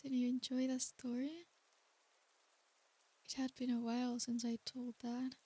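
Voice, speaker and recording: An ancient female demon, acted by a woman, murmurs in a soft ASMR roleplay. Her voice is high and breathy, with an almost flat, unhurried melody. She is tender, doting and seductive, soothing the listener as if at bedtime. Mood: tender, teasing. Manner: slow, intimate, soothing bedtime delivery.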